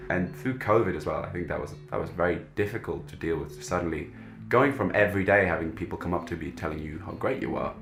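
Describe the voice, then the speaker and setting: Low, gravelly young man from Germany. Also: a bit bright, reverberant. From Germany, moved to England at fifteen. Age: 20s. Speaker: busker, professional musician. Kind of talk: reflective documentary interview.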